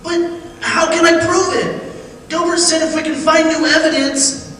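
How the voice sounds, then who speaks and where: A man's voice: extremely high pitch, fairly even intonation, measured pace, sharp tone, distant mic, slight background noise, exaggerated voice. An actor playing an innocent condemned man, recounting his night among the dead in theatrical, fervent old-time radio style. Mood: desperate, pleading. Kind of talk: old-time radio drama acting, first-person narration. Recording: cavernous reverberant radio recording, music behind.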